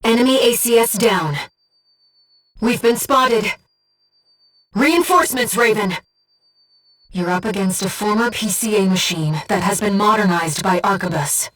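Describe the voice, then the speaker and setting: A woman playing an earnest, devoted young female spirit guide in a video game, speaking with composed, gentle precision as a mission operator. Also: mid-range voice, a little slow, long pauses, distant mic, faint music behind, poor audio. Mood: urgent, alarmed. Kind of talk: scripted video game voice acting.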